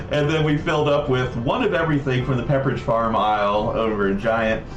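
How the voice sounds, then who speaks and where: A man's voice: medium pitch, highs cut off. Man streaming in an echoey, distant-miked room. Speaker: livestream host. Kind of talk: casual livestream chat. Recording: roomy echo, distant mic, background music.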